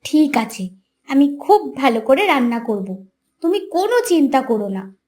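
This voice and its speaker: A woman's voice: high-pitched, very animated, a little slow, a little mellow. A woman voicing a naive, simple-hearted young village wife in a cartoon story, innocent and earnest. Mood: eager.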